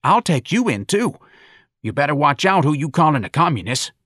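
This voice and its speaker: A man's voice: fairly high pitch, sing-song, normal speed, fairly bright tone, slightly rough, no room sound, clean studio sound, voice pushed up, heavily acted. A man voicing a flustered, blustering policeman in an audiobook novel. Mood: threatening.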